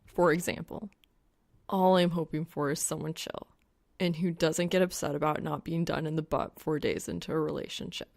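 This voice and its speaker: Woman as a low, gravelly, wry young guy. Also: steady tone, moderate pace, darkish tone. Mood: exasperated. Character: young man gamer, wry, exasperated, awkward crush.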